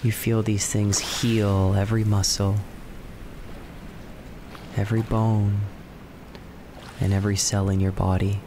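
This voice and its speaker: A man leads a guided relaxation in a low, very even voice. He speaks soothingly in short phrases with long pauses between them. Clear wave noise runs behind his words. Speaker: guided meditation narrator. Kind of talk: slow guided relaxation narration. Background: ocean waves and relaxation music.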